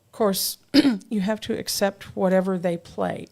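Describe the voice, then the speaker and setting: An older woman hosting a casual talk show, chatty and quick to laugh. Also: medium pitch, fairly even intonation, darkish tone. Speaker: host.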